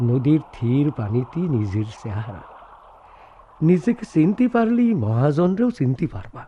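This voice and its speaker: An actor playing a kindly, philosophical village elder in a radio drama, in rural Bangladeshi Bengali, giving solemn counsel. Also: male voice, a little high. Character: kindly philosophical elder brother, a rustic spiritual guide. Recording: muffled, noisy radio drama recording.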